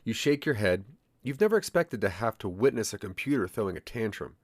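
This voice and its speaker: A man with a fairly low voice narrates a scripted story to the listener. His intonation is lively and varied, in the style of audio drama storytelling. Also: fairly quick, no room sound, studio quality.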